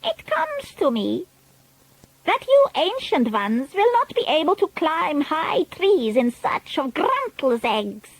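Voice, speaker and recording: A woman's voice: high voice, huge pitch swings, takes it slowly, no echo, voice pushed up, exaggerated voice, poor audio. A woman voicing the imperious, cruel leader of the witches in a storybook reading, with a grand and cold commanding manner, on a dry, close recording.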